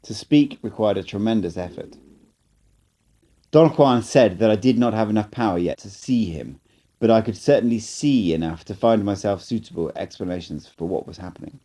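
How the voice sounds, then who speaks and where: A man reads an audiobook aloud in calm, measured first-person narration. His voice sits low and has a mellow timbre. It is an amateur reading, not a professional one.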